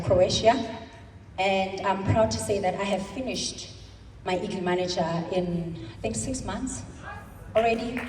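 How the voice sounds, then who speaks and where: A South African woman in her thirties giving an emphatic motivational speech at a convention, stretching her words into slow dramatic pauses. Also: mid-range voice, darkish tone, slight background noise. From South Africa, Eastern Cape. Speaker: convention speaker. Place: large hall. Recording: echoey hall, speaker far from mic.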